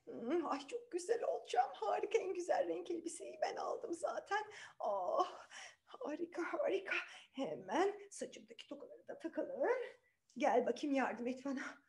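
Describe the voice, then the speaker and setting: A woman's voice: sits high, very animated, moderate pace, a little mellow, pitched above the performer's own voice. A woman voicing a vain, bossy, spiteful young stepsister from a fairy tale, gushing with sighs and exclamations. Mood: vain, demanding. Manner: gushing, self-admiring, full of sighs and exclamations.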